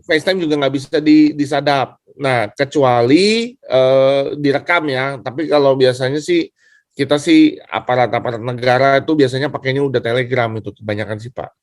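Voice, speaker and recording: A man's voice: medium pitch, moderate pace, no echo, clean studio sound. A man speaking as a student in a class discussion, explaining informally and deferentially, circling back over his points. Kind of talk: informal spoken question and explanation.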